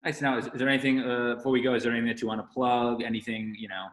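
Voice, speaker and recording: A man co-hosting a casual interview podcast, asking questions in a halting way with repeated words. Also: mid-range voice, little pitch movement, a bit bright. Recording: roomy echo, faint music.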